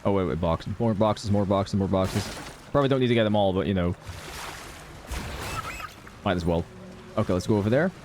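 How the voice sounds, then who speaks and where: A man's voice: lowish voice, fairly quick, pauses often, darkish tone, slight background noise. A man streaming a game playthrough, giving casual, laid-back commentary with muttered asides to his viewers. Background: faint game music.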